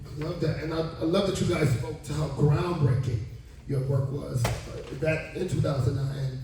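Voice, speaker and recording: A man with a high voice hosts a panel in an effusive, slangy way. He sounds distant from the mic in a very echoey room, with clear noise behind him. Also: little pitch movement, normal speed. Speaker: panel host. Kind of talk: gushing, informal event hosting. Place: town hall event room.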